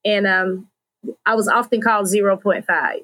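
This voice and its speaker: A woman's voice: mid-range voice, steady tone, normal speed, very noisy. A woman with an American accent hosting a podcast, interviewing in a conversational way. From United States.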